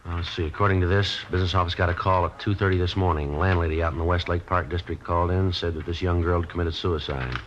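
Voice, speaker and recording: A man playing a terse American detective sergeant in a 1950s radio drama, clipped and deadpan in both narration and dialogue. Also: low-pitched, quick pace, hardly any pauses, close to an everyday voice. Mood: terse, matter-of-fact. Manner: clipped, matter-of-fact, deadpan. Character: terse, businesslike male police detective sergeant. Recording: dull, noisy old radio recording.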